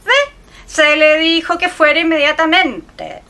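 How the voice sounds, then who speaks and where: A woman's voice: high-pitched, unhurried, sharp tone, full character voice. A woman with a Chilean accent playing a snobbish, domineering, scolding old mother-in-law in a radio comedy. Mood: suspicious, bossy. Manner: fussy, scolding. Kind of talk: radio play comedy acting.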